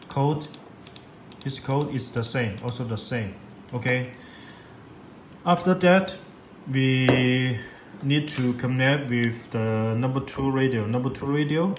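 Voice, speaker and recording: A man giving a step-by-step software tutorial in halting, repetitive English. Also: mid-range voice. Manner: halting, repetitive non-native English phrasing. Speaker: product demonstrator. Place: indoors at a laptop on a desk. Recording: echoey room with clear background noise.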